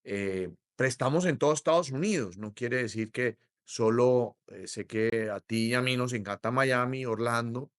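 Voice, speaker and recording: A middle-aged Colombian man with a Colombian accent, explaining mortgages as an interview guest in a measured way, with many hesitations. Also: medium pitch, clean tone, no room sound, clean studio sound. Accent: Colombian Spanish. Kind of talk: interview conversation.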